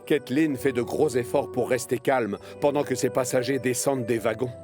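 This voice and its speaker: A man giving scripted storybook narration for a children's cartoon, in a measured, expressive way. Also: mid-range voice. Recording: cartoon music underneath.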